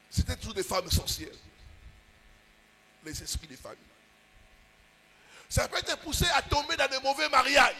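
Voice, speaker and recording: A man preaching as a pastor, with emphatic bursts and drawn-out pauses. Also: extremely high pitch, creaky, bright, forceful tone, slight background noise. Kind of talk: sermon.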